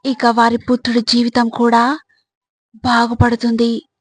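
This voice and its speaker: A woman delivering scripted motivational advice in a measured, instructive way, speaking directly to the listener. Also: a little high, monotone, breathy edge.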